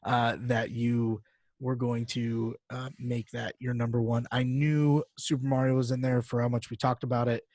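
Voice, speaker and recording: A man's voice: mid-range voice, level tone, normal speed, a little mellow, dry recording. Casual male podcaster, very dry sound. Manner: informal slang, filler-heavy, reels off lists and figures. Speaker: podcast co-host.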